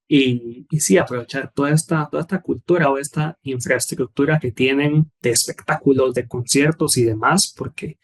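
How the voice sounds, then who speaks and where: A young Guatemalan man answering interview questions in a measured way, often restarting phrases and ending points with a tag question. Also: medium pitch. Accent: Guatemalan Spanish. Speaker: guest, former scholarship student.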